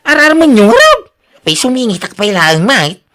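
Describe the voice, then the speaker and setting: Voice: medium pitch, huge pitch swings, moderate pace, bright, forceful tone. A performer with a Filipino accent playing a smitten, insistent suitor in a radio drama, pleading and protesting emphatically. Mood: indignant. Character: smitten, insistent younger suitor of older woman. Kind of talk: Ilocano radio drama acting.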